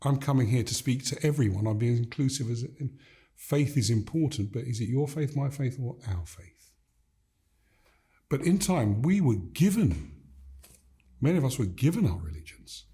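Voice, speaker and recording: Measured older man, warm low voice. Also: animated. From Gambia. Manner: measured, emphatic, builds points in repeated phrases. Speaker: guest, leadership coach. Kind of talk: reflective interview conversation.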